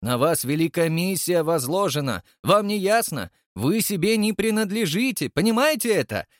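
A man's voice: fairly high pitch, animated, moderate pace, a bit bright, no echo, studio quality, pitched above the performer's own voice. A man voicing an imperious old male elder who scolds and insists with exclamations. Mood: indignant scolding.

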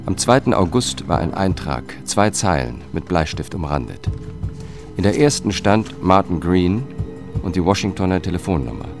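Deep-voiced, dogged investigator. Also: male voice, expressive, moderate pace. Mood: sober. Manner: sober, factual, short sentences. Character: dry, dogged male investigator telling his case. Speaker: protagonist and first-person narrator. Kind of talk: first-person crime radio drama narration. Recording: music behind the voice.

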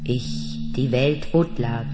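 A woman playing a vast telepathic planet intelligence in a 1970s radio drama, commanding and hypnotic, with deliberate, incantatory phrasing. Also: rumbling low, mellow, soft background music. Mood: solemn, commanding.

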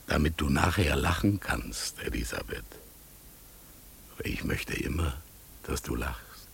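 An actor playing a solemn, mysterious talking raven in a radio play, with a husky, gravelly voice and a slow delivery. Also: male voice, extremely low pitch, fairly bright tone, slight background noise, exaggerated voice. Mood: solemn, tender. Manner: slow, solemn, sometimes calling out or whispering. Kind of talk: German radio play acting.

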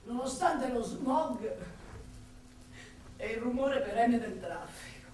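In a very echoey space, a little far from the mic, a grieving mother giving a dramatic monologue. Her voice is fairly high and she lingers over her words, lyrical and wistful, tender with a bitter edge. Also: animated, darkish tone. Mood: nostalgic. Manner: lyrical, deliberate, with lingering pauses and repeated words. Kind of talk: theatrical monologue for stage or radio drama. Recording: echoey hall, distant mic, faint noise.

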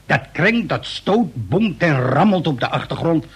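An actor playing an eccentric, opinionated inventor in a radio play, chatty and indignant, with emphatic rhetorical delivery. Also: male voice, on the high side, big pitch swings, a little slow, slightly rough, heavily acted. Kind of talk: Dutch radio play dialogue.

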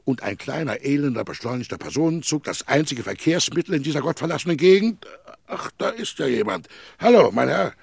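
An actor playing an imperious, irritable company president in a German radio play, blustering and impatient in a gravelly, husky voice. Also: male voice, medium pitch, sing-song, a little fast, no room sound, clean studio sound, exaggerated voice. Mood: exasperated.

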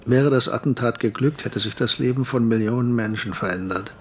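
A man's voice: medium pitch, fairly quick, a little hiss or hum, lightly acted. An actor playing a reflective, philosophical man in radio drama dialogue, musing as he explains.

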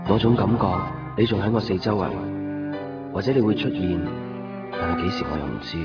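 A male actor plays a melancholy man pining for a lost woman, with a lowish voice and a Hong Kong Cantonese accent. He speaks wistfully, as in a nineties radio drama, a little distant from the mic with music underneath. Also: brisk, dull audio, natural voice. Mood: wistful longing. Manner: wistful, introspective. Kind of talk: radio drama monologue and narration.

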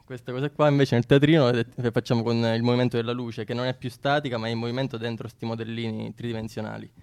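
Clear, even-toned man. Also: medium pitch. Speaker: theatre technician and set builder, interviewed guest. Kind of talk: casual explanatory interview talk.

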